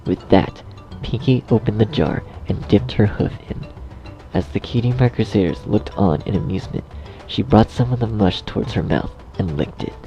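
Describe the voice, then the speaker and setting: A man's voice: lowish voice, normal speed, gravelly, muffled recording. A man narrating a scripted horror story dramatically, as its storyteller. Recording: faint music behind the voice.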